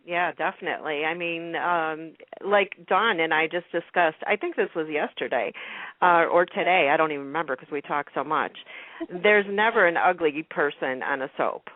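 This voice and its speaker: A woman's voice: deep voice, normal speed, no echo. A woman hosting a radio talk show in a casual, chatty style. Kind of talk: casual chat about TV soaps. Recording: muffled, narrow phone-like line.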